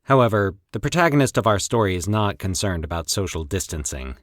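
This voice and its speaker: A man narrating a scripted horror story in a formal, deliberate, theatrical storytelling manner. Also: low voice, sing-song, a bit bright, dry recording, clean studio sound. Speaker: host and narrator of a fiction podcast.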